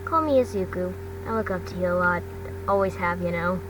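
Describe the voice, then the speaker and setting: A woman's voice: on the low side, fairly quick, natural voice. A woman voicing a vulnerable teenage boy hero student in a fan fiction reading, hesitant and earnest. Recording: distant mic, clearly noisy background.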